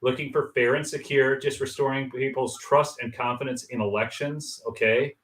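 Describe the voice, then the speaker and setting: A man hosting a political interview, animated and opinionated, jumping in with agreement and his own views. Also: medium pitch, normal speed, a little hiss or hum. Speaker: interview host. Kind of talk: political talk show interview. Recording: roomy, distant mic.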